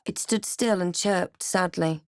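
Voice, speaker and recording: A wary, snarky female adventurer from a fantasy game played by a woman, her voice on the low side. She delivers lines with measured, dry drama, recorded close and dry. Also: dry recording, close to an everyday voice. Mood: solemn narrating. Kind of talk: video game character lines and narration.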